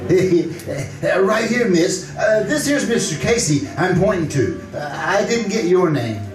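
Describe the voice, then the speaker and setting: Man playing Ethelbert, an excitable high-voiced barkeep. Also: a little slow. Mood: eager. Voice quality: streetwise slangy diction. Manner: hesitant, rambling, excitable. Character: Ethelbert, chatty, eager, lovestruck male barkeep. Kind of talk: old-time radio comedy-drama acting. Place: live on a theatre stage. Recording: roomy stage performance, distant mic, music.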